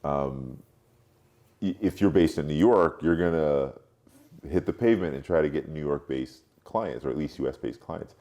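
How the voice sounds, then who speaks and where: A man in his late forties who grew up in Europe, speaking reflectively as an interview guest, haltingly, thinking aloud and repeating words. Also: extremely low pitch, warm tone. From Europe, mostly France, born in Cameroon. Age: late 40s. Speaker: podcast guest, marketing strategist.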